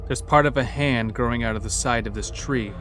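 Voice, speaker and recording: A man's voice: mid-range voice, brisk, a bit bright. A man narrating a scripted first-person horror story in a dramatic storytelling manner. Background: background music.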